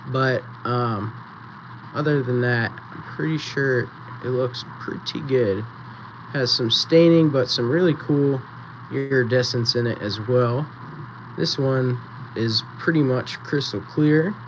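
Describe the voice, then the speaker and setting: Slow-talking man running a live auction. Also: medium pitch, background noise. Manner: slow, relaxed, chatty, counting down bids. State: voice going raspy. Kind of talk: live-stream auction chat. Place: small indoor photo booth setup.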